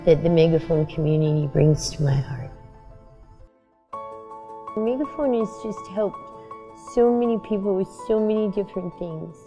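A middle-aged woman speaking reflectively in a personal interview, earnest and halting, pausing mid-phrase. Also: mid-range voice, warm tone. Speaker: street paper vendor. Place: indoor room. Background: music.

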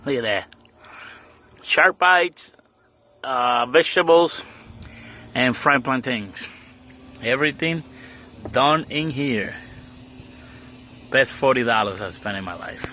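A man in his thirties with as his talks in a bright, edgy voice. His pitch swings widely while he speaks slowly and stumbles over fillers. Wind and water noise from a handheld camera on a boat sit clearly under his voice. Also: expressive. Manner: halting, many fillers and swearing. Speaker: fishing vlogger. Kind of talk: casual vlog narration. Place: outdoors on a small boat, much of it at night. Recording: noisy outdoor camera audio.

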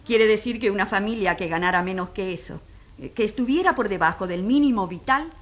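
A woman playing a determined, incisive prosecutor in a courtroom radio drama, questioning pointedly and deliberately. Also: medium pitch, natural voice. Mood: incisive, insistent.

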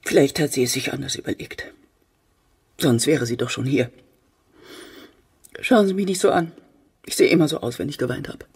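Husky, bitter middle-aged mother. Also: expressive, moderate pace, pauses often, darkish tone, full character voice. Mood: wounded, brittle. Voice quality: husky, low-pitched. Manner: cutting, scornful, dramatic. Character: bitter, cold-hearted grieving mother, full of hatred. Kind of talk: radio play acting.

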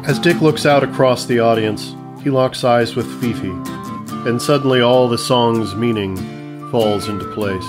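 A man's voice: medium pitch, moderate pace, music in the background. A man narrating a scripted comedy story in the present tense.

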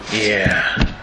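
An actor playing a weary, tough convict antihero, terse and cynical, in an action audio drama. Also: male voice, fairly low pitch, background noise. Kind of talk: post-apocalyptic action audio drama acting. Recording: echoey, distant mic under music and crowd.

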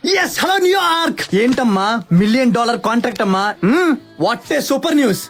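A man playing a breezy, excitable young husband in a romantic comedy film, playful and mixing English into his speech. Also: very high-pitched, moderate pace, soft background music, heavily acted. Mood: excited. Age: young adult.

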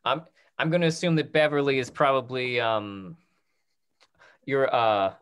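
A man in his thirties moderating a talk-back on a video call in a casual, halting way, often repeating his words. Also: mid-range voice, a bit bright, clean tone. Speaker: host of a theatre talk-back.

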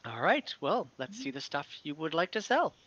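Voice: medium pitch, big pitch swings, normal speed, fairly bright tone, dull audio. A game master voicing an older female elf shopkeeper in a tabletop game, welcoming and politely businesslike. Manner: effusive greeting, polite sales talk.

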